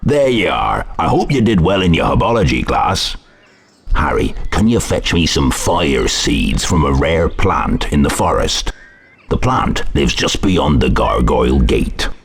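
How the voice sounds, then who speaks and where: An actor playing a big, hearty, friendly gamekeeper at a school of magic in a video game, with a homely, folksy way of talking. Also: male voice, extremely low pitch, sing-song, unhurried, gravelly, breathy edge, full character voice. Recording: noisy game audio with background ambience.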